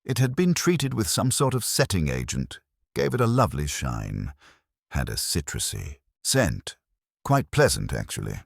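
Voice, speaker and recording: A man voicing a nervous, fastidious shop window dresser in a detective story, precise and deferential. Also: low voice, huge pitch swings, vocal fry, no room sound, studio quality, exaggerated voice. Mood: puzzled, troubled.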